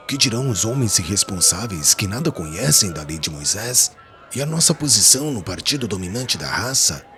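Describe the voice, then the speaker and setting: A man acts out a proud Pharisee with a very low voice and lively, swooping intonation. He is haughty and offended, questioning with scornful disbelief in an audiobook drama, over music. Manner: haughty, incredulous, rhetorical questioning.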